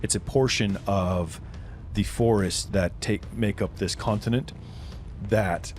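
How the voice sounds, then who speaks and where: Low-voiced male game master, faint music. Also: fairly even intonation, slow pace, a little mellow. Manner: dramatic, descriptive. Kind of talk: improvised tabletop game narration.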